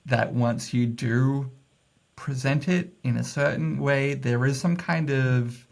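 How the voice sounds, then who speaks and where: A man's voice: mid-range voice, steady tone, slow pace, gravelly. A man talking as a podcast guest, loose and casual, full of fillers and laughs as he thinks aloud. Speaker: guest, messaging strategist. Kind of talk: casual interview chat.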